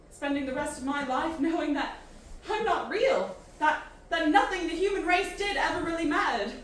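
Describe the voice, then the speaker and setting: A woman's voice: high-pitched, far from the mic. An actress playing an outspoken, irreverent young woman in a science fiction audio drama, blunt, exasperated and full of questions. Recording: very echoey, off-mic, faint noise.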